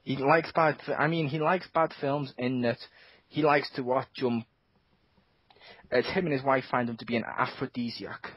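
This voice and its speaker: A man's voice: mid-range voice, fairly even intonation, moderate pace, slightly rough, dry recording. A man hosting a podcast, chatting in a rambling, jokey way. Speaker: podcast host. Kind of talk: casual chat. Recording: dull lo-fi dry sound.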